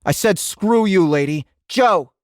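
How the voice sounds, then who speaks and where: A man's voice: sits high, animated, measured pace, no echo, clean studio sound. An actor playing a sarcastic, indignant American teenage boy in an audiobook drama, talking in exasperated slang. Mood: angry, mocking.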